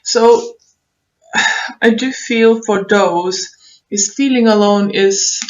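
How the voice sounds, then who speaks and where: Slow-paced woman giving a tarot reading. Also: medium pitch, steady tone, muffled recording. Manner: halting, repeats phrases, thinks aloud. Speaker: tarot reader, online show host. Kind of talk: unscripted tarot reading, advice to viewers.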